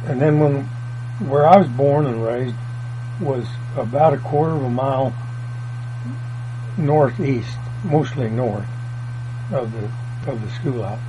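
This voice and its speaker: A folksy older man with a drawl wanders through his family's story in a plain, country way. His voice sits at an ordinary middle pitch. The recording is dull and lo-fi, with a steady mains hum running underneath. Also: a little slow, pauses often. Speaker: oral history interviewee. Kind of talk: rambling family reminiscence.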